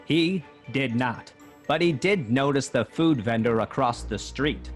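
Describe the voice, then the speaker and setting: A man's voice: medium pitch, measured pace. A man giving scripted mock-noir narration for a comedy radio drama. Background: music.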